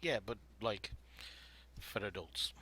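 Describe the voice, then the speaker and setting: A man with a deep voice and a bright, forward tone hosts a writing podcast panel. He explains story prompts casually and narrates scenes aloud, leaning often on "you know" and other fillers. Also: lively intonation, takes it slowly.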